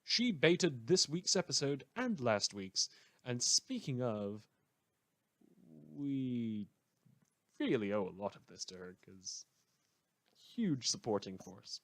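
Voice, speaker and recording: A man speaks as a podcast host wrapping up his show. His delivery is informal with a lively, varied pitch, recorded dry with very little room sound. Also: takes it slowly, long pauses, no echo. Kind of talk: informal show outro and credits.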